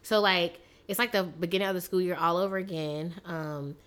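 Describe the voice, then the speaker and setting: A woman with a raspy, hoarse voice from a cold, chatting as a podcast co-host and telling stories in a lively, filler-heavy way. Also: on the low side, normal speed. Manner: chatty, animated storytelling with many fillers. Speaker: teacher. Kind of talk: casual chat.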